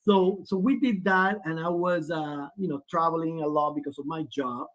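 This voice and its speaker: A man's voice: a little high, fairly even intonation, normal speed, fairly bright tone, low-quality recording. A man in his forties, a guest on a remote video call who talks at length with many restarts. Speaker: guest, player's father. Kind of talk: casual interview.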